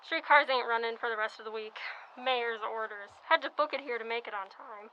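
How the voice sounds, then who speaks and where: A woman's voice: fairly high pitch, fairly quick, dull audio, a little hiss or hum, close to an everyday voice. A woman playing a tired, chatty young working-class nurse in a radio play, with folksy, slangy talk. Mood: weary, chatty.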